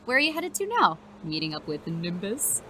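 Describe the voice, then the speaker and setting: A woman's voice: lowish voice, wildly swooping pitch, normal speed, noisy background. A woman playing an earnest, teasing teenage girl student reporter, casual and affectionate, in an audio drama. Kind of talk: naturalistic audio drama acting.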